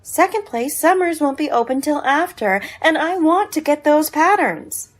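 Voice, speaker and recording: A woman playing a brisk, practical farm wife in a radio play, chiding and matter-of-fact. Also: high voice, animated, a little hiss or hum.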